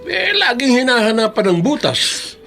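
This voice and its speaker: A man's voice: very high-pitched, big pitch swings, moderate pace, gravelly, sharp tone, faint music behind, heavily acted. An actor with a Filipino accent playing a concerned, homely older father in a radio drama. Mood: disapproving, advising. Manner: folksy, concerned, hesitant with interjections.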